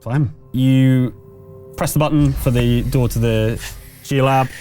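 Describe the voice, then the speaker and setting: Male game master, mid-pitched, improvised conversational scene-setting with halting delivery, faint music bed. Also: fairly even intonation, mellow. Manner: halting, conversational, pieced-together descriptions. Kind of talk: improvised narration of a tabletop horror game.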